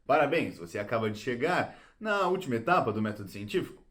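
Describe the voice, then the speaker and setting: A man plays a patient, didactic guide in an educational audio drama. His mid-pitched voice moves up and down with theatrical emphasis as he explains and encourages. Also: very animated, fast talker, a bit bright. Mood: instructive. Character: patient, didactic male guide to detective reasoning.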